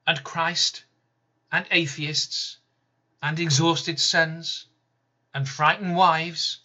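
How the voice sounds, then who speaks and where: A man reading his own poem slowly, measured, with sudden loud emphatic rises and soft drops. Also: mid-range voice, breathy edge. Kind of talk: slow spoken-word poetry reading. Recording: slightly dull lo-fi recording.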